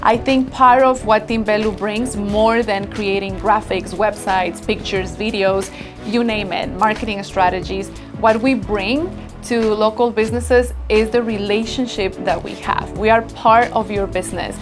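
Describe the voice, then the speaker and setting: Woman founder, 30s, from Ecuador, warm grateful interview talk, music under her. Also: mid-range voice, steady tone, a little slow. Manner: earnest, grateful storytelling. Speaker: business founder. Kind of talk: interview answers. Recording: background music bed.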